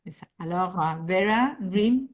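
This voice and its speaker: A woman's voice: medium pitch, animated, measured pace, muffled recording. A woman directing a research group, opening a webinar over a video call, hesitant with many fillers. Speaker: webinar host, program director. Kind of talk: live webinar introduction.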